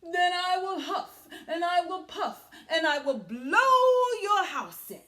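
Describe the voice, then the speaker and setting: A woman voicing a boastful, blustering big bad wolf from a storybook, with drawn-out, theatrical phrasing. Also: very high voice, sing-song, sharp tone, voice pushed up, heavily acted. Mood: loud, threatening.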